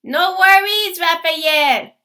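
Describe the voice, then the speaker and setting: A woman's voice: very high-pitched, slow, bright, forceful tone, voice pushed up, exaggerated voice. A woman voicing a confident, dreamy schoolgirl inventor in a storybook.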